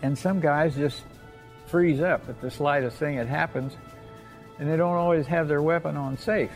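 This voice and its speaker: A man's voice: a little high, steady tone, moderate pace, faint music behind. An elderly American man, a war veteran, reminiscing in a plainspoken, folksy way and often repeating himself. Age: 80s or older. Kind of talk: oral history reminiscence.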